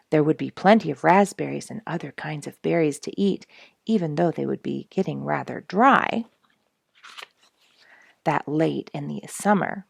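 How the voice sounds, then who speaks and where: A woman's voice: sits low, creaky, a little mellow, breathy edge, no room sound, clean studio sound. A woman reading a children's storybook aloud expressively, speaking directly to young listeners. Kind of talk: animated storybook read-aloud.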